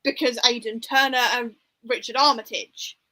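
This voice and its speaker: A woman with a fairly high, very bright voice talks casually as a discussion host. She is informal and opinionated, softening points as she thinks them through in conversation. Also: steady tone, normal speed, poor audio. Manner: informal and opinionated, hedging with "like" and "sort of". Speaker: book discussion livestream host. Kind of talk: casual book discussion chat.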